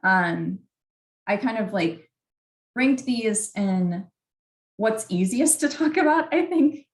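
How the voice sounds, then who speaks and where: A woman's voice: medium pitch, lively intonation, takes it slowly. A young adult woman giving informal advice as a graduate student mentor in a workshop, conversational and candid, often saying "like". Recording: roomy, echoey sound.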